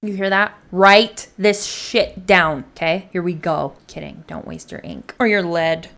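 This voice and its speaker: Woman doing snarky clip commentary, lower voice, cuts right through. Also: measured pace, gravelly, a bit bright. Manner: blunt, sarcastic, swears. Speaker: commentator reacting to clips. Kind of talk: sarcastic reaction commentary.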